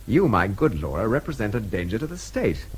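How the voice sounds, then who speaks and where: A man's voice: fairly low pitch, big pitch swings, fairly quick, a little hiss or hum. An actor playing a capable, exasperated British man with a sarcastic, clipped, superior manner in a radio drama. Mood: sardonic, brisk.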